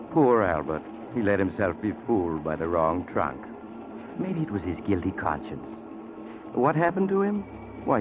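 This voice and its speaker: A genially sinister male storyteller from vintage radio horror. His very deep voice is wry and ominous, coming through a narrow, noisy old broadcast recording. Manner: wry, ominous, drawn-out phrasing. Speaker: storyteller host. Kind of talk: 1940s radio horror narration.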